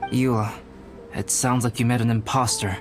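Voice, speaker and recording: Man as young male alchemist, anime game, cerebral, composed, formal phrasing, mid-pitched. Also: fairly even intonation, over music, close to an everyday voice. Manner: measured, formal, analytical.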